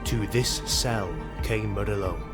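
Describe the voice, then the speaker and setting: A man narrating a scripted fantasy tale in a solemn, deliberate, literary manner. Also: fairly low pitch. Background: background music.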